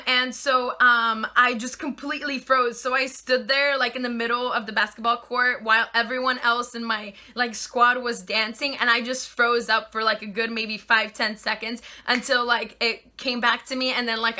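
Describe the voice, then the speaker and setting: A woman's voice: a little high, bright, forceful tone. A woman in her twenties from Poland with a slight Polish accent, chatting casually as she answers viewer questions in her vlog. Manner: chatty, with many "like" and "you know" fillers.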